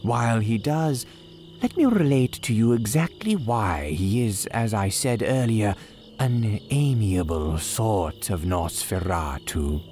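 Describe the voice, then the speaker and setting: A man playing a courteous, gleefully sinister cemetery keeper, telling horror tales in grand, archaic, drawn-out phrases like old-time radio drama. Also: on the low side, lively intonation, darkish tone, soft background music. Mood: slyly macabre. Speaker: horror storyteller host.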